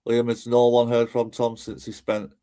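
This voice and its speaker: A man's voice: mid-range voice, completely flat delivery, normal speed, mellow, clean tone. A man giving casual, rambling livestream commentary in a chatty, informal way. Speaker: streamer and host.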